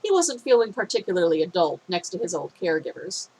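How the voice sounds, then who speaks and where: A woman reading a fan fiction story aloud in a steady, expressive way, her voice a little phlegmy. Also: lowish voice, fast talker, husky, poor audio.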